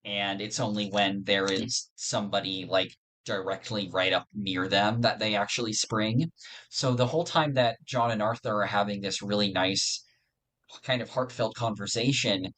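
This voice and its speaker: A man's voice: on the low side, normal speed, fairly bright tone, a little hiss or hum. A man in a casual podcast discussion, explaining fictional lore in earnest detail. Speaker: podcast panelist.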